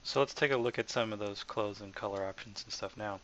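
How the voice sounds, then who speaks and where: A man narrating a casual software tutorial as the developer, informal and hesitant, as if thinking aloud. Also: lowish voice, fairly quick. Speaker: software developer presenting own asset package. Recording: dull lo-fi mic with faint background noise.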